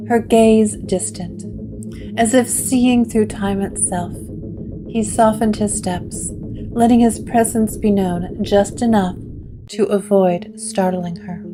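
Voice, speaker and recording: A woman narrating a gothic drama in a slow, hushed, dramatic way. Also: medium pitch.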